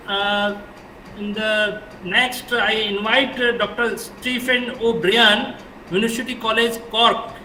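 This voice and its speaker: A man moderating an online event with halting, formal introductions, heard on an echoey, distant call. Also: sits high, bright, forceful tone. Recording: echoey, distant, slightly noisy call audio.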